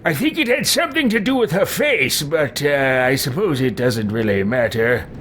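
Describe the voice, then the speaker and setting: A man's voice: medium pitch, expressive, normal speed, a bit bright, a little hiss or hum, lightly acted. A man voicing a callous, amused, self-absorbed evil sorcerer in a video game roleplay, with a theatrical, dismissive drawl. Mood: flippant.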